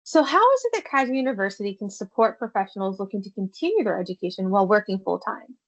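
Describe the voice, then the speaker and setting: A woman's voice: mid-range voice, fast, muffled recording. A woman hosting a podcast who asks interview questions in a casual, chatty way. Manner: casual, chatty, relaxed phrasing. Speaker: podcast host.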